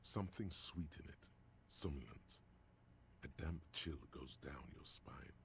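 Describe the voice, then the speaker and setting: A very deep, gravelly game narrator. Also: male voice, animated, slight background noise, heavily acted. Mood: ominous. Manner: slow, measured, descriptive.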